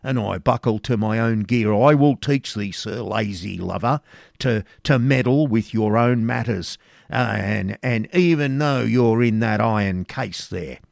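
A man's voice: lowish voice, a little slow, creaky, no echo, studio quality. A man voicing a burly, belligerent medieval friar in a storybook reading, bombastic and archaic in his speech. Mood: threatening.

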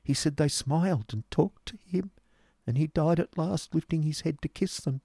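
A timid, broken-spirited storybook youth, speaks in a high, strained voice. He stammers and pleads in anguish, sounding like a frightened drudge. The sound is close and dry. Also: slow, vocal fry, dark tone, breathy edge, no room sound, full character voice. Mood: mournful, haunted.

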